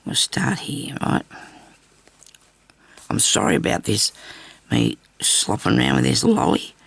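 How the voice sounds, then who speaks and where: Older woman, raspy and hoarse, lively sing-song delivery, chatty craft host. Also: very deep voice, measured pace, no echo. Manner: chatty, rambling asides while stitching. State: hoarse with a bad cough. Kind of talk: casual sewing tutorial chat. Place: home craft table. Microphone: overhead camera on her hands.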